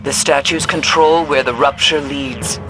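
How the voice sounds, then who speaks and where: An actor voicing a cryptic mystic advisor in a video game, speaking solemnly with slow, ominous delivery. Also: medium pitch, fairly even intonation, natural voice, poor audio. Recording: game audio with music behind.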